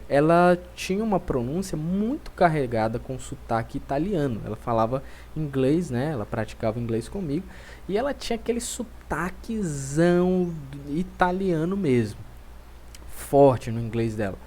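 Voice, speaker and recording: A man with a Brazilian Portuguese accent teaching an online language lesson, coaxing and lively, often adding tag questions. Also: a little high, a little hiss or hum.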